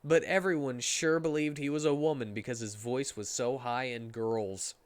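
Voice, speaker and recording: A man's voice: mid-range voice, steady tone, normal speed, clear, resonant tone, no echo. A man reading a story aloud as a comedic dramatic performance, sometimes stumbling over the words.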